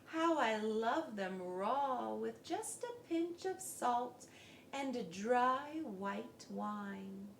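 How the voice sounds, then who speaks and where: Woman acting male fox, sly, hungry, whimpering, drawn-out words, storybook reading, roomy echo. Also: a little high, expressive, vocal fry, slight background noise, pitched above the performer's own voice, exaggerated voice. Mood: dreamy hunger.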